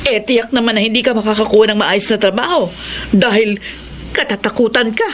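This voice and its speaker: A woman's voice: on the high side, brisk, slight background noise, natural voice. An actress playing a callous, lazy mother in a radio drama, curt and scornful in her scolding. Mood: scolding, cold.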